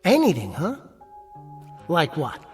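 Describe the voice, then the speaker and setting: A man's voice: on the high side, huge pitch swings, slow, darkish tone, faint music behind, exaggerated voice. A man playing a mild, placating nightclub ventriloquist in a radio play, politely nervous and pleading. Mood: pleading, reasonable.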